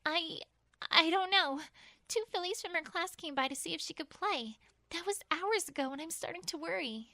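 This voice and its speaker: A woman's voice: very high-pitched, lively intonation, moderate pace, bright, forceful tone, no echo, studio quality, exaggerated voice. A woman voicing an anxious, caring young female pony who speaks earnestly and hesitantly in a cartoon-style audio story. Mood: worried.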